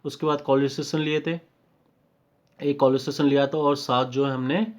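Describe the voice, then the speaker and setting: A man's voice: medium pitch, steady tone, moderate pace. A man with an Indian accent giving an informal, step-by-step technical tutorial, explaining repetitively with short confirmations and mixing in English technical terms. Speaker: technical trainer. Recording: dull lo-fi microphone sound.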